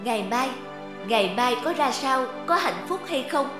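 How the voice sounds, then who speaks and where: Young cartoon heroine, high voice, heartbroken, earnest and emotive, over music. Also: female voice, animated, moderate pace. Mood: reflective. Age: young adult. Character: heartbroken, self-pitying young woman, thoughtful and earnest. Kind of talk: cartoon dialogue and inner monologue. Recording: music under the voice.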